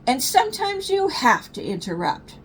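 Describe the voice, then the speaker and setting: A woman's voice: high voice, huge pitch swings, normal speed, slight background noise, voice pushed up, rough recording. A woman voicing a grumbling, self-important father bear in a children's storybook, with emphatic delivery.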